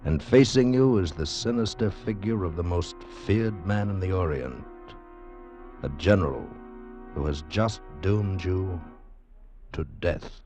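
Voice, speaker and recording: An actor playing an ominous narrator of an old-time radio adventure teaser, speaking in dramatic broken phrases. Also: male voice, sits low, animated, measured pace, a little mellow. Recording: vintage radio with clear music.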